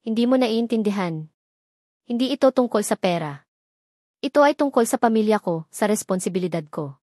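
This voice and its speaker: A woman voicing a shaken young bride who speaks in a trembling, hushed voice. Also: medium pitch, expressive, moderate pace, no echo, clean studio sound, natural voice. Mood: resolute.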